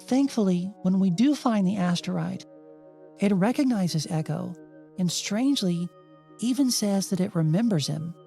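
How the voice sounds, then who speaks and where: Woman, mellow dark tone, audiobook-style storytelling, wry and sarcastic, music underneath. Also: mid-range voice, lively intonation, moderate pace, creaky. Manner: wry, dryly sarcastic asides. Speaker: host and narrator of a video game retrospective. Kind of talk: audiobook-style scripted narration.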